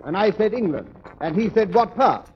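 A dull, noisy vintage radio recording carries a corny comic working through old jokes. He is mannered and formal, with a high male voice that moves around a lot. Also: animated, normal speed. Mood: corny, self-assured. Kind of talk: 1940s radio comedy monologue.

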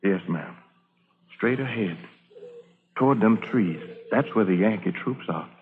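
Earnest, humble Southern freedman, lowish voice, plain-spoken; radio play with very narrow vintage sound. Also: lively intonation, measured pace. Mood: hushed warning. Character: humble, grateful formerly enslaved man, a soldier's companion. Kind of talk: old-time radio drama acting. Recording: thin noisy vintage radio recording.

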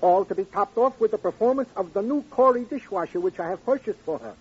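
Wordy friendly cop, very high husky male voice, florid formal delivery, vintage radio drama, dull sound. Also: lively intonation, fast talker, full character voice. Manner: comically formal, florid phrasing. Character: eager, wordy police sidekick, friendly and comically formal. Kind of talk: old-time radio drama acting. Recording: dull old radio recording.

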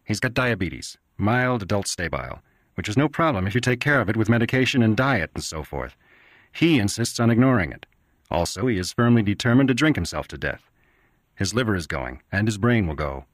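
A man's voice: fairly low pitch, big pitch swings, fairly quick, no echo, clean studio sound, voice pushed up. A man doing a blunt, flippant doctor. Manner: flippant, matter-of-fact. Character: blunt cynical male doctor.

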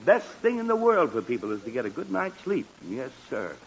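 A man's voice: mid-range voice, big pitch swings, fairly quick, breathy edge. An actor playing a flustered, easily exasperated father in a 1940s radio comedy, blustering and stammering, heard through a muffled old broadcast recording.

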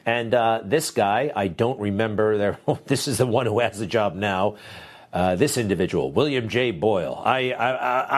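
A man hosting a news show, giving opinionated commentary with sarcastic, dismissive asides. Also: medium pitch.

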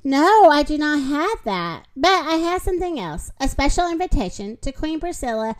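A woman's voice: high-pitched, big pitch swings, moderate pace, slight background noise. A woman voicing a playful male cat in a hat, reading his lines with a bouncy rhyming delivery.

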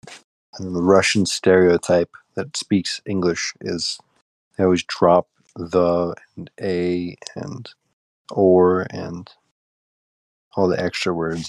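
A man's voice: low voice, a little mellow, dry recording. A young man co-hosting a podcast, chatting casually with dry, offhand, blunt opinions. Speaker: podcast co-host. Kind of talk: casual chat.

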